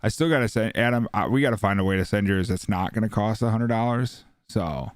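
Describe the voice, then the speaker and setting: A young man co-hosting a livestream, joking loudly and swearing in casual chat on his own microphone. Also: lowish voice, fairly quick, no echo, studio quality. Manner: loud, joking, profane. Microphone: personal microphone.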